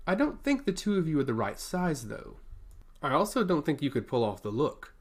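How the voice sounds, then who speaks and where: A man's voice: on the high side, huge pitch swings, moderate pace, slightly rough, heavily acted. A man voicing a self-assured, teasing prince in a fantasy audiobook, with wry, patronizing banter. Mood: mocking.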